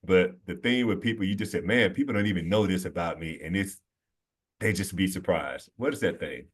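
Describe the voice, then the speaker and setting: A man with a low voice hosts a relaxed conversation series. He talks in a friendly, easygoing way, with plenty of fillers and ready laughter. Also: brisk, slightly rough. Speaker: host. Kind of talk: casual conversation.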